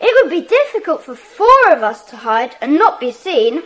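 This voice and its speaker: A keen young club member from a children's adventure play. The voice is very high and lively, rising and falling freely. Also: female voice, normal speed, poor audio. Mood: keen, uneasy. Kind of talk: children's audiobook dramatisation.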